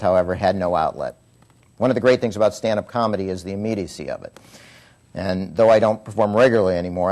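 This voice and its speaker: A comedy writer reading a prepared talk to an audience, wry and deadpan with comic timing. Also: male voice, sits low.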